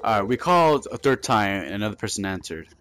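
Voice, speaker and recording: Casual, halting man with music underneath. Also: on the low side, big pitch swings, a bit bright. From United States. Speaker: gaming channel host and prank caller. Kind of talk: casual video commentary and prank calling.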